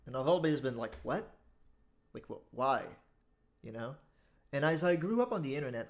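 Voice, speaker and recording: A young man with a Quebec French accent talks through a monologue that is loosely read from a script. He speaks English as a second language at a middle pitch, full of "uh" and "like", and he swears now and then. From Quebec, Canada. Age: 20s. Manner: casual, frequent fillers, occasional swearing. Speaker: YouTuber. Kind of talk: monologue commentary, partly scripted.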